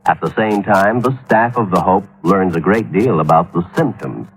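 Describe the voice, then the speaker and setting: A male announcer reading a scripted public service announcement in an earnest, measured way. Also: low voice, lively intonation, poor audio.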